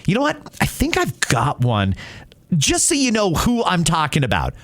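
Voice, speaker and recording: A man's voice: high-pitched, huge pitch swings, normal speed, a bit bright, a little hiss or hum. A middle-aged man hosting an opinionated talk show in an emphatic, conversational way. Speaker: podcast host. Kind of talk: opinionated talk radio commentary.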